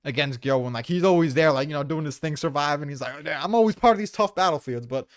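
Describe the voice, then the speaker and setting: A man's voice: a little high, fast, no echo, studio quality. A man defending his opinions in a casual debate, informal and prone to swearing. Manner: informal, profane. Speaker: tier list maker defending placements. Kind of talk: casual debate about manga character rankings.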